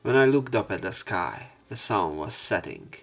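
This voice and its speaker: A male let's play narrator with a fairly low voice. He reads the text of a visual novel aloud, line by line, as a story. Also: sing-song, moderate pace, creaky, fairly bright tone.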